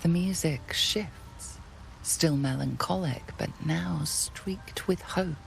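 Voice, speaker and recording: A woman narrating a dark fantasy game as a grave, poetic storyteller with measured, literary phrasing. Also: sits low, creaky, soft background music, exaggerated voice, low-quality recording. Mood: hushed, somber.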